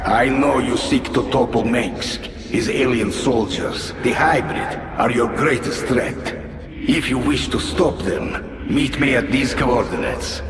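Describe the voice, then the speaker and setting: Mysterious messenger: unhurried, grave warnings, long reverb, game cutscene. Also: male voice, mid-range voice. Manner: grave, deliberate. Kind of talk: video game cutscene acting. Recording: echoing reverberant message over music.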